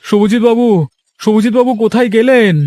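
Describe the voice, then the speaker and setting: A man's voice: very high-pitched, little pitch movement, fairly quick, mellow, soft background music, pitched above the performer's own voice. A man voicing an aggrieved, honest village fish trader in a cartoon, speaking emphatically and earnestly. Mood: indignant. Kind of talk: Bengali cartoon story acting.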